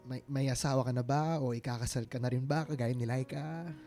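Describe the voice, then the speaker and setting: An actor with a Filipino accent playing an earnest, smitten ex-boyfriend in his late twenties, speaking haltingly in a radio drama. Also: medium pitch, fairly even intonation, warm tone, lightly acted. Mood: tender, curious. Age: 20s. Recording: music bed behind the voice.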